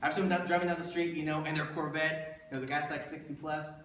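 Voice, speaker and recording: A man's voice: a little high, level tone, fast talker, fairly bright tone. A thirty-year-old man giving a motivational presentation to an audience in a conversational way, putting rhetorical questions to listeners and repeating himself for emphasis. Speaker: motivational presenter pitching a business plan. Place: indoor room before an audience. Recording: echoey room, distant mic, faint noise.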